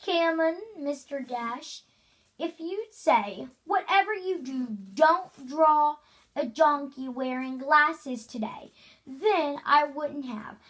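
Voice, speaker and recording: Child reader's high-pitched cheeky schoolboy. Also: slow, a bit bright. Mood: cheeky, argumentative. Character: cheeky defiant schoolboy who argues back.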